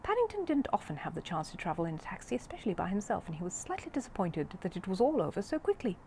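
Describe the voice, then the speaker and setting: A woman reading a children's story aloud in an expressive narrating style. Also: mid-range voice, fast, a little hiss or hum. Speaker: audiobook reader of a children's book.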